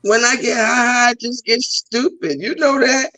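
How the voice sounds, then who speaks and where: A woman's voice: on the high side, normal speed, over a noisy crowd. A woman comedian from Trinidad with a thick Trinidadian accent tinged with New York, chatting bluntly and emphatically on a podcast. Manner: blunt, emphatic, repeats points. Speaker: comedian guest. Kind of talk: casual podcast chat.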